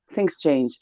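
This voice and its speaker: A woman in her thirties with a Greek accent, chatting playfully and teasingly as co-host. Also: dark tone. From Greece. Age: 30s. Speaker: show co-host. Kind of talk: casual chat. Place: at home on a video call. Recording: dull lo-fi call audio.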